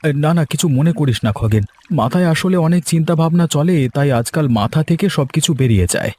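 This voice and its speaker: A man's voice: a little high, lively intonation, brisk, warm tone. A man voicing an earnest, trusting and poor village fish farmer in a cartoon folk tale. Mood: puzzled, apologetic. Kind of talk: cartoon fairy-tale dialogue.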